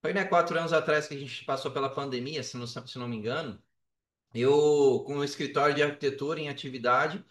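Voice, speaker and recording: A Brazilian man telling his own story casually as a podcast guest, colloquial and animated, often acting out quoted dialogue. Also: fairly high pitch, a little fast. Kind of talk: casual personal storytelling in an interview. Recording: roomy echo, distant from microphone.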